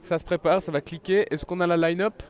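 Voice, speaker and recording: A man's voice: sits high, fairly even intonation, fairly quick, darkish tone. A man with a head cold giving live esports commentary, chatty and full of fillers and restarts. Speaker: esports caster.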